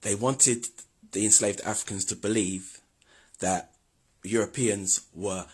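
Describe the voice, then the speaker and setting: Husky, very deep-voiced man. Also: steady tone, slow pace. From London, England. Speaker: video host. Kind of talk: scripted history commentary.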